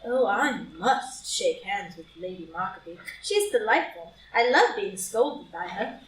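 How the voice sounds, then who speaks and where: A woman's voice: mid-range voice, sing-song, a little slow. A woman acting a flippant, vain, playful young society lady in a group play reading, with an arch, breezy, teasing manner. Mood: polite, flippant. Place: live in-person group reading in a room. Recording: roomy, distant live reading, noisy.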